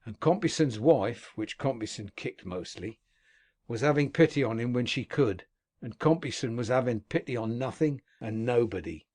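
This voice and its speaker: Male reader as a rough old convict, gruff, earthy dialect, medium pitch. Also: lively intonation, moderate pace, darkish tone, lightly acted. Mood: grim recounting. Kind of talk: audiobook character reading.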